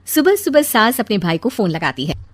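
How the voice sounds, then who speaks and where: A woman's voice: medium pitch, big pitch swings, normal speed, dry recording. A woman narrating a scripted story as a storyteller.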